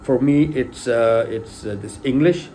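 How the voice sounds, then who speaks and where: A man's voice: mid-range voice, measured pace, warm tone, slight background noise. Hesitant Urdu-speaking man lecturing. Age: middle-aged. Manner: hesitant, many fillers and restarts; emphatic on key points. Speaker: lay religious lecturer. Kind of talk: informal spoken lecture.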